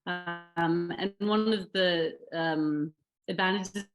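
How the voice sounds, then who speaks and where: A woman's voice: lowish voice, steady tone, slow pace, music in the background. A middle-aged woman researcher reflecting on her academic path in an interview, thoughtful, with many fillers and restarts.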